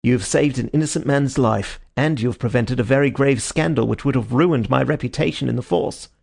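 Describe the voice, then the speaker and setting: A man reading an audiobook, voicing a hot-tempered male police inspector who blusters loudly and indignantly. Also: mid-range voice, a little fast, vocal fry. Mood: grudging. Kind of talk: audiobook character voice.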